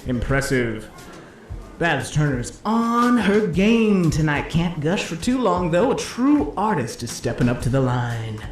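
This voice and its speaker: An actor playing a slick, cheeky 1950s disc jockey who calls a bowling game with showy hepcat patter and drawn-out flourishes. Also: male voice, a little high, sing-song, a little hiss or hum. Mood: excited play-by-play. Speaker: play-by-play announcer. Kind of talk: 1950s radio-style sports commentary. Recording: roomy echo, music underneath.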